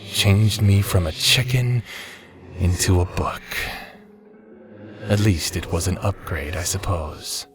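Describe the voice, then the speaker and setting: A deep-voiced ancient demon, bent on ending the world. He speaks slowly and grandly, ominous yet drily self-mocking, like a fantasy audio drama villain, with faint music underneath. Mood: dry, sly. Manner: grandiose, ominous, drawn-out delivery. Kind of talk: fantasy audio roleplay acting.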